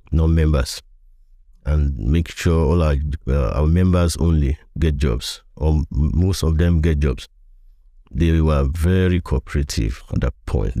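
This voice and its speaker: A man's voice: rumbling low, dark tone, no room sound, clean studio sound. A middle-aged man with a Nigerian accent, recounting past events in an interview, often repeating and rephrasing as he goes. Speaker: guest, veteran voiceover artist.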